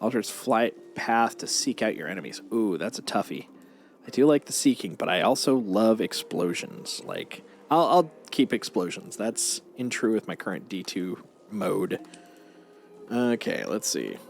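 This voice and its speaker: A man's voice: on the low side, lively intonation, a little slow, a little hiss or hum. A man chatting casually and playfully with friends as he streams a game live. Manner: playful, banters and mock-pleads. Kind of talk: casual gameplay chat with friends.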